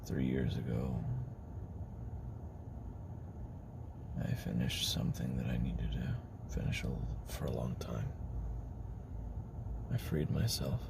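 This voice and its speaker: A man playing a weary, guarded former assassin in a confessional audio roleplay, murmuring haltingly with a gravelly, breathy voice. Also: extremely low pitch, background noise, heavily acted. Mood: grim, confiding. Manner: halting, hesitant, self-doubting, trailing pauses.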